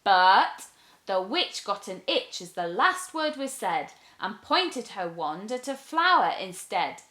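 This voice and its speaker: A woman reading a rhyming children's picture book aloud as an expressive storyteller. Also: medium pitch, slow pace, reverberant.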